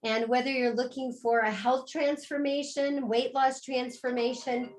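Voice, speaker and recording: A middle-aged woman from the United States pitches a business opportunity over a video call. She speaks warmly and with upbeat sales energy, and her voice sits a little above the usual pitch for a woman. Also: fairly even intonation, measured pace. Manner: warm, upbeat sales storytelling. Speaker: co-host and business presenter.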